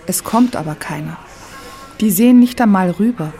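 A woman's voice: medium pitch, expressive, normal speed, dark tone. A woman narrating a literary first-person radio feature, with music playing behind her. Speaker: narrator and host playing a wish-granting fairy.

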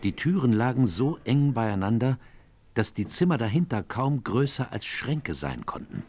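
An actor playing a male detective's companion, narrating a radio play in measured, atmospheric literary style. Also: medium pitch, sing-song, darkish tone, slightly rough. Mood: grave, atmospheric.

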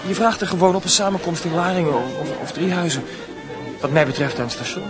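A man plays an earnest, touchy young student in a radio play. His voice sits fairly high and he presses his points with feeling, with music and crowd murmur behind him. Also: fast, close to an everyday voice. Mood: earnest, pleading. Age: young adult. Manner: insistent, emotional. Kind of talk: Dutch radio drama acting.

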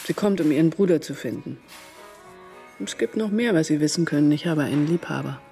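Mellow, cool, worldly woman in radio drama. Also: low voice, brisk, soft background music. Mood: knowing, matter-of-fact. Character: worldly, shrewd German woman, postwar underground agent. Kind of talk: radio crime drama acting.

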